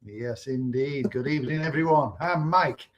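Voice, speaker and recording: A man's voice: medium pitch, moderate pace, highs cut off. A man from Manchester with a Mancunian accent, co-hosting a casual live stream in a rambling, conversational way. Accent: Mancunian English. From Manchester, England. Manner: conversational, rambling, uses fillers. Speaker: co-host.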